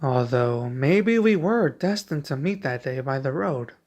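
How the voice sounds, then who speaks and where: A man acts out a young king in an intimate ASMR roleplay. He is a doting, nervous husband and father-to-be, speaking in a soft, affectionate and slightly hesitant way at a medium pitch. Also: lively intonation, a little slow. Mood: reflective, tender.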